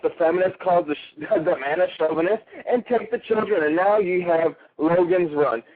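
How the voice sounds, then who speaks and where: Male caller with an Italian accent, crude and heated, tripping over words; high-pitched and phone-thin. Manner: ranting, crude and heated, with stumbles. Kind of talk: call-in radio rant. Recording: tinny phone line.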